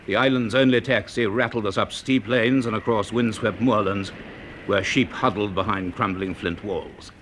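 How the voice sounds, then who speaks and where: An actor playing a reserved male university professor in a radio drama, speaking and narrating in a formal, measured, literary way. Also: lowish voice, steady tone, a bit bright, slight background noise, natural voice. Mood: grave, descriptive. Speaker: narrator and lead character.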